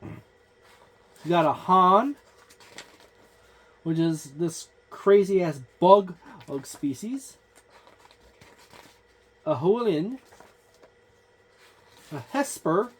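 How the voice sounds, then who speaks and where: Halting, casual book-flipping reviewer. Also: medium pitch, expressive, breathy edge. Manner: halting, frequent "uh" fillers and restarts while listing entries; offhand, informal wording. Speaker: tabletop game book reviewer. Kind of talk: casual page-by-page book overview.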